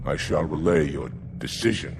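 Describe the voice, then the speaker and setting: A man's voice: lively intonation, moderate pace, noisy background, exaggerated voice. A man playing a proud, stern alien warrior commander in a video game, formal and grave. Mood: restrained. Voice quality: deep, gravelly.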